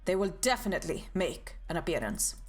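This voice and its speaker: A woman reading out a confident young woman mercenary from a game story, in an even, calm tone over faint game music. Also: fairly low pitch, a little fast, breathy edge, pitched below the performer's own voice. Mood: calm, assured.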